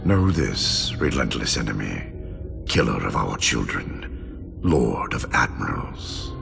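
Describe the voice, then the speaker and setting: Ancient alien warlord for a game, super deep and slow, solemn speeches, loud music behind. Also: male voice, big pitch swings, creaky, full character voice. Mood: grave, weary. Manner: slow, solemn, archaic oratory. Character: ancient grieving, imperious male alien warlord. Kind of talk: dramatic video game villain monologue.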